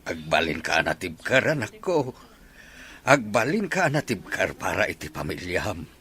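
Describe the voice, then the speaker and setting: A man's voice: low voice, a bit bright, slight background noise, heavily acted. A man playing a grieving old grandfather who consoles his family, acting in an Ilocano radio drama with an Ilocano accent.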